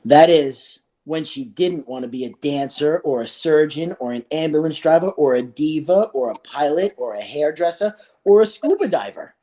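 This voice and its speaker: Animated, mellow voice reading to children. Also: mid-range voice, moderate pace. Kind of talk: read-aloud of a children's book and casual discussion.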